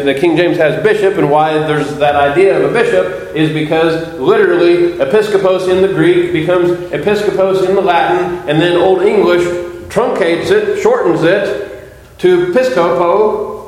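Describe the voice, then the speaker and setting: A man's voice: a little high, a little mellow. A man preaching a sermon to a congregation, explaining scripture and putting questions to his listeners. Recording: echoey hall.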